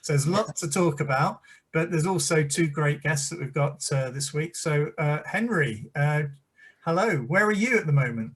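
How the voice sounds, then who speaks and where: A man's voice: fairly high pitch, animated, moderate pace, fairly bright tone, slightly rough. A man hosting a video chat show, welcoming guests with jovial, wry teasing. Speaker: host. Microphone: video call.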